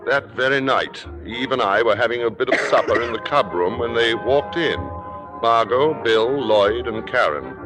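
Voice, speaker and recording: An actor playing a suave, worldly theater critic who speaks in urbane, deliberate, formal phrases in an old-time radio drama. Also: male voice, medium pitch, lively intonation, fairly bright tone, natural voice. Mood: smooth narration. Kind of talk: 1950s radio drama acting and narration. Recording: old radio broadcast, reverberant, music behind.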